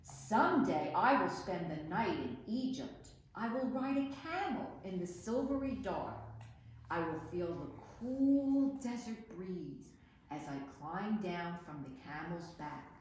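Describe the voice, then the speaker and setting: Casting: female storytime reader, unhurried and highly expressive, recorded in a very echoey room with slight noise. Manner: animated, dramatic, measured. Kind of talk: expressive children's picture-book read-aloud.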